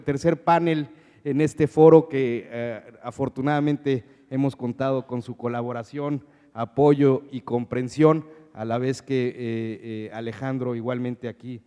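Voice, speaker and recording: A man with a Mexican accent moderating a conference panel in a semi-formal, hesitant and halting way, often repeating his words. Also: medium pitch, mellow, clean tone. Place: conference hall. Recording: reverberant conference hall sound.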